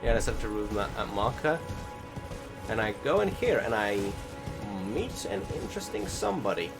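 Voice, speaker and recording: Game music plays clearly behind a man with a fairly low voice. He comments casually on his gameplay, rambling along informally and swearing freely. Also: sing-song, moderate pace. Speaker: gaming video creator.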